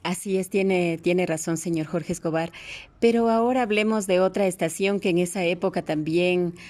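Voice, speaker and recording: A woman's voice: fairly low pitch, normal speed, darkish tone. A woman with an Ecuadorian accent, hosting a conversational interview with a courteous, respectful way of addressing her guest. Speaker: interviewer, radio host.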